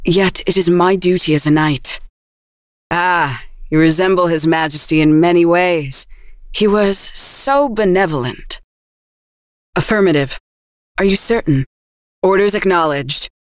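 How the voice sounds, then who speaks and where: A woman's voice: on the low side, measured pace, pauses often, no room sound, lightly acted. Actress as loyal young woman knight; formal, stern, clipped, dry booth. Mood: resolute. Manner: formal, clipped military delivery. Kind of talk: game voice clips. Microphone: close studio mic.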